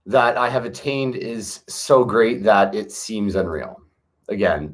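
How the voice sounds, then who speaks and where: A man with a fairly low voice reads slow, solemn verses aloud. He speaks in a measured, earnest way and leans into key words for emphasis. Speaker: reader of a classic text. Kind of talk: solemn reading aloud with asides.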